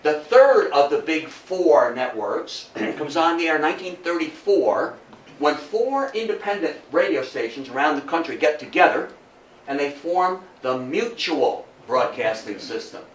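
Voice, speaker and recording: A man's voice: fairly high pitch, expressive, a little slow. A man with an American accent giving a history lecture to an audience, speaking emphatically, repeating phrases for effect and putting questions to listeners. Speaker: guest lecturer. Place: indoor room in front of an audience. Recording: roomy, distant mic, faint background noise.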